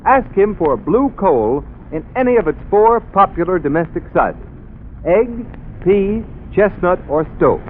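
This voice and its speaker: A man's voice: sits high, narrow, tinny audio, slight background noise. Emphatic 1930s radio announcer, man. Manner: persuasive, emphatic. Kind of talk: scripted radio commercial.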